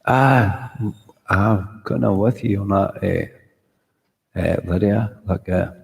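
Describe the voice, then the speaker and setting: A man from the north of Scotland with a Highland Scottish accent, chatting casually with livestream viewers in a rambling way full of "uh" fillers. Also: slow pace, creaky, long reverb tail, music in the background. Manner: rambling, lots of "uh" fillers, friendly asides. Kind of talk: casual chat with live viewers.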